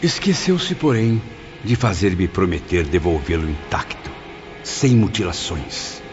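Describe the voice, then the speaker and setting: A low-voiced, cruel and tormented spirit, bitter and theatrical, swinging widely in tone as he confesses old vengeance. The audiobook drama is muffled, with loud music behind him. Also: lively intonation, a little slow. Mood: bitter brooding.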